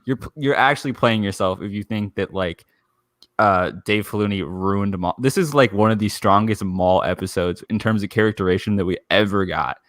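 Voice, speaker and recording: Podcast panelist, male, fairly deep voice, emphatic casual fan talk, dry sound. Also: brisk, fairly bright tone, dry recording.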